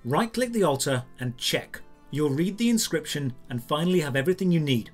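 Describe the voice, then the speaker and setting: Male guide narrator, slightly high register, scripted instructional delivery over background music. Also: expressive, brisk. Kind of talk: scripted step-by-step tutorial narration.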